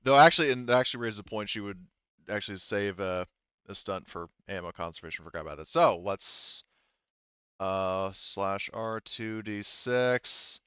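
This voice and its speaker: Smooth, low-voiced male game master, slightly muffled. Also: fairly bright tone, no echo. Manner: halting, thinking aloud while working through rules and dice. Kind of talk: running a tabletop role-playing game, casual chat.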